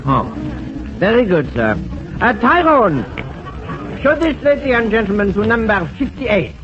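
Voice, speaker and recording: Fussy male headwaiter, high and animated, formal, 1940s radio comedy, dull recording. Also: a little slow. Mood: obliging.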